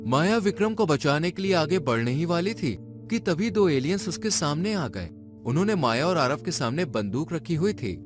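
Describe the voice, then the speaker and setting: A man's voice: on the high side, big pitch swings, moderate pace. A man narrating a scripted story in a dramatic style. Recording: music behind the voice. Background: music.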